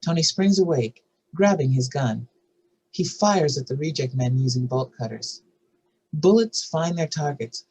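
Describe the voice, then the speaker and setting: Husky mid-pitched narrator, scripted screenplay reading, stage directions, table read. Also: a little mellow, rough recording.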